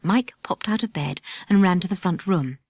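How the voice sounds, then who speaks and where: A woman's voice: on the low side, moderate pace, darkish tone, breathy edge, no room sound. A woman reading scripted narration for a children's audiobook, close to a studio microphone.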